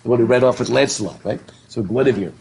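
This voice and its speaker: A middle-aged man lectures a classroom in front of a blackboard, his mellow voice thick from a stuffed up nose. He jokes, laughs and asks the students questions as he goes. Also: mid-range voice, fast, vocal fry, a little hiss or hum, poor audio. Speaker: professor. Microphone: laptop webcam.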